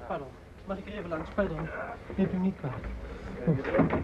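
An actor playing an anxious, polite youth in a radio play, apologetic and eager. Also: male voice, high voice, a little fast, muffled recording, crowd noise, natural voice.

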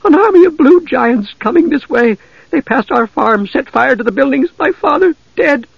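Frightened young farm girl in an audiobook, urgent and gasping, high pitched, read by a man. Dull, dry recording. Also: normal speed, vocal fry, no room sound. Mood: desperate.

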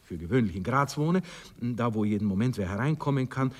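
Husky, pompous gentleman played by an actor. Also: medium pitch, lively intonation, a little fast, in a large hall, natural voice. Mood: earnest, hesitant. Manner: hesitant, moralising, blustering. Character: pompous, evasive married bourgeois man wooing a girl. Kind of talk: 1960s German radio drama acting.

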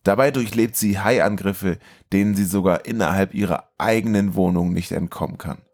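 A man's voice: sits low, normal speed, a little mellow. A man co-hosting a film podcast in a casual, chatty style. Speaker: podcast co-host.

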